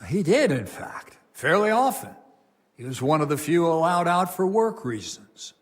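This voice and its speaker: An actor playing a stern, burdened abbot of a medieval monastery, grave and formal, in a dramatic game cutscene. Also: male voice, on the high side, sing-song, measured pace. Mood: grave explaining.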